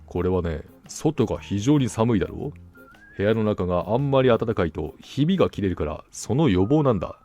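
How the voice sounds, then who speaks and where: A man voicing a gullible, self-assured gentleman hunter in a storybook, musing aloud conversationally. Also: lowish voice, big pitch swings, moderate pace, soft background music. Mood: musing, satisfied. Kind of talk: storybook dialogue acting.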